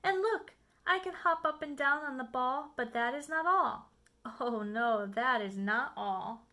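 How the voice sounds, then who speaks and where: Woman playing a high, bright, showy storybook cat. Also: lively intonation, measured pace, exaggerated voice. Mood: excited, showing off. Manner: showy, exclamatory, coaxing. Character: playful, boastful showman cat in a tall hat. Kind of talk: storybook read-aloud.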